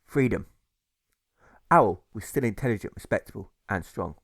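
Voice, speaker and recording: A man narrates an audiobook fable in a measured, deliberate way. His voice is fairly low with noticeable creak, and the recording is dry. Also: lively intonation, no room sound. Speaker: author reading his own audiobook. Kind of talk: scripted narration of a rhyming fable.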